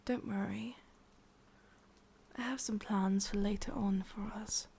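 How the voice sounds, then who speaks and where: A woman's voice: mid-range voice, fairly even intonation, takes it slowly, gravelly, warm tone, husky, exaggerated voice. A woman with an English accent playing a possessive, sadistic ancient witch in a whispered ASMR roleplay, coaxing and controlling. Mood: possessive, teasing.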